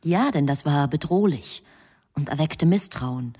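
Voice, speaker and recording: An actress playing the composed, knowing narrator of a literary radio drama, speaking in a measured, deliberate way. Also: female voice, low-pitched, expressive, mellow, husky, exaggerated voice.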